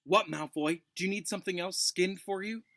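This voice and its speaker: A man voicing a hot-tempered, loyal schoolboy with a rough, challenging manner in a storybook reading. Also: high voice, a little fast, a bit bright, no room sound, pitched above the performer's own voice.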